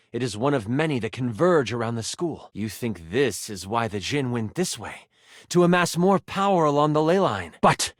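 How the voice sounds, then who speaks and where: A man's voice: medium pitch, no room sound, studio quality. A man narrating a fantasy adventure as a game master, in a theatrical storytelling manner addressed to the player. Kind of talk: dramatic second-person fantasy narration in a video game.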